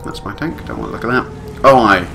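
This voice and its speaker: A man's voice: medium pitch, fast, noisy background. A British man giving casual video game commentary, dry and self-deprecating, rambling along to himself. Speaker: gameplay commentator. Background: video game vehicle and battle sounds.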